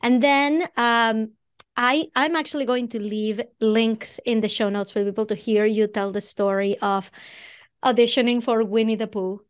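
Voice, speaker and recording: A woman's voice: on the high side, steady tone, moderate pace, clear, resonant tone. A woman from Venezuela with a Venezuelan Spanish accent, hosting a podcast and asking long, winding interview questions. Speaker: podcast host. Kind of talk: conversational interview.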